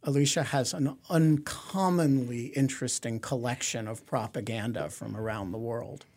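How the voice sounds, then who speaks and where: A middle-aged man hosts a conversational interview. He phrases things informally and wryly, often feeling his way haltingly through a sentence. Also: mid-range voice, darkish tone. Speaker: podcast host, interviewer.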